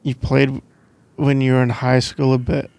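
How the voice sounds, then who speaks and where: A man's voice: medium pitch, monotone, normal speed, creaky, darkish tone, dry recording. A young Scottish man doing casual boyfriend roleplay ASMR in a playful, teasing, rambling way, close to the microphone. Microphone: close microphone, dry sound.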